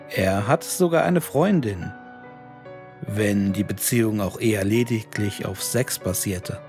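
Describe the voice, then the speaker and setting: A man reading a scripted horror story in a measured, deliberate way. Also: lowish voice, darkish tone. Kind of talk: scripted creepypasta narration.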